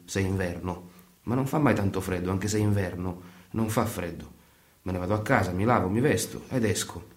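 A man acts a theatrical male storyteller in a radio play. His voice sits fairly low and moves in measured, deliberate phrases. The recording is clearly roomy, with echo around the words. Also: darkish tone, close to an everyday voice. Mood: plain, nostalgic. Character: male storyteller and troupe leader, measured, wistful. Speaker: narrator.

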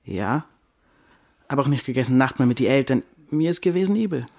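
Guarded young man, husky creaky voice, Yiddish-influenced German, hesitant, radio play. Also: medium pitch, animated, brisk, a little mellow, no room sound, studio quality. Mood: guarded, uneasy. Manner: hesitant, inverted word order.

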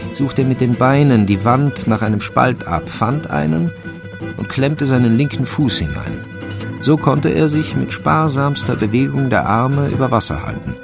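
A man narrating a crime radio play in a measured, wry, literary manner. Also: mid-range voice, gravelly. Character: dry, omniscient male narrator of a village crime story. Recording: music behind the voice. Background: instrumental music.

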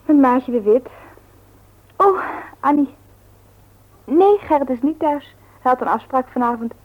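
A woman's voice: sits high, expressive, a little slow. An actress playing a composed, independent-minded woman in a radio play, speaking in a firm, matter-of-fact manner. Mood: casual, friendly. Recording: dull old radio recording.

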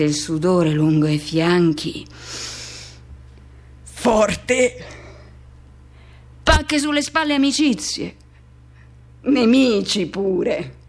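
An actress playing a man who recalls his first teenage love in a radio theatre monologue, in fragmented, repetitive, poetic phrases. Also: on the low side.

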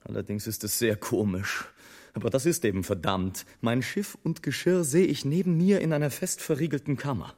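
An actor playing an irascible, sardonic airship adventurer in a German radio play, declaiming with bitter irony. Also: male voice, medium pitch, moderate pace, a little mellow, natural voice. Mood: indignant, wry.

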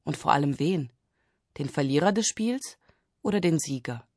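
Lower-pitched woman reading, very dry sound. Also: lively intonation, normal speed, darkish tone, no echo. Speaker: reader of excerpts and summaries. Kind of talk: scripted literary reading.

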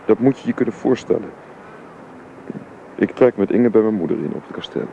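An actor playing a grave, troubled father in a radio drama, speaking in a halting, solemn manner. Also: lowish voice, dark tone, lightly acted. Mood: regretful, firm. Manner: halting, solemn, repeats himself. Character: grave, troubled widowed father dismissing his housekeeper. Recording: dull, noisy old radio recording.

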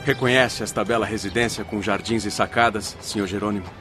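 An actor playing a calm, reassuring spirit guide in a radio drama, measured and didactic. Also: male voice, on the low side, over music, lightly acted. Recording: noisy vehicle-like background ambience.

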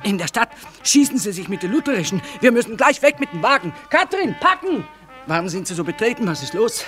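An actress playing a shrewd, hard-bitten middle-aged trader woman in a radio play, earthy and brisk. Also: mid-range voice, sing-song, fairly bright tone, faint music behind. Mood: urgent. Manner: brisk, earthy, colloquial talk. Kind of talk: German radio play acting.

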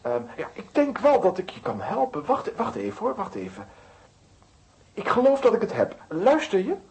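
Verstrooide, aarzelende, levendige professor. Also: male voice, sits high, huge pitch swings, normal speed, a little mellow, heavily acted. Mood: pondering. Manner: hesitant, muttering, distracted. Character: absent-minded, kindly male comic-strip professor. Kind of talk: children's audio drama acting.

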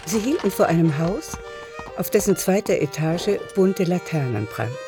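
A man tells a literary story as the narrator of a radio drama. His voice sits somewhat high for a man, and music plays clearly behind it. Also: lively intonation, moderate pace.

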